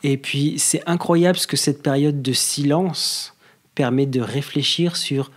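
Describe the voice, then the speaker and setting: A man, talking as a guest on a podcast in a relaxed, hesitant way, with many fillers and restarts. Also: fairly high pitch, unhurried. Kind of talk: casual conversation.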